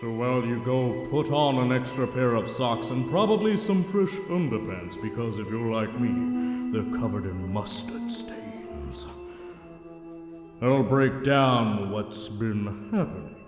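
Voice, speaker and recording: An actor playing a jokey, theatrical narrator giving a mock-dramatic recap of a suspense serial. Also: male voice, mid-range voice, very animated, slow pace, reverberant, big-room sound, over music.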